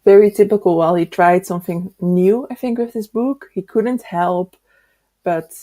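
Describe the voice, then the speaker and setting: Mellow, slightly low-voiced woman chatting casually. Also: steady tone, moderate pace. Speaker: book discussion co-host. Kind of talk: casual book chat.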